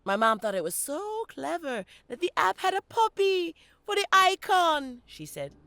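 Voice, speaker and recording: An actress playing a proud, sharp-tongued, working-class Jamaican college student, fed up and sardonic, in a theatrical audio-play monologue. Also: female voice, very high voice, a little slow, creaky, no echo, exaggerated voice. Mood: exasperated. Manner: sardonic, animated, theatrical storytelling.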